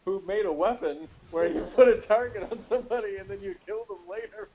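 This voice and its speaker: Rambling man in casual chat, slightly high voice. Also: a little fast, a little mellow, muffled recording. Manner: rambling, searching aloud for details. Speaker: podcast co-host. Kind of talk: casual sci-fi TV review chat.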